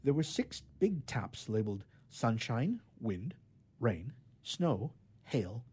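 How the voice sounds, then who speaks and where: A man reads a children's story with expressive, theatrical emphasis. His voice is soft-edged and somewhat husky, and his pitch moves widely from phrase to phrase. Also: takes it slowly.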